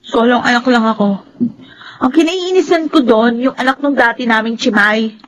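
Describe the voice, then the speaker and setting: Spoiled rich daughter voiced by a woman, very high voice, sulky, radio soap, some background noise. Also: moderate pace, lightly acted, rough recording. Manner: sulky, petulant, emphatic. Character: spoiled, resentful young daughter of a well-off family. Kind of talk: radio drama acting.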